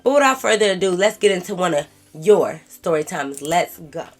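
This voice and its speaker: A woman's voice: fairly low pitch, expressive, moderate pace. A young woman vlogger with a stuffed-up cold voice, reading a viewer's story aloud and talking back to it in sassy slang. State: congested from a cold. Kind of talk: casual storytime, reading a viewer's letter and reacting.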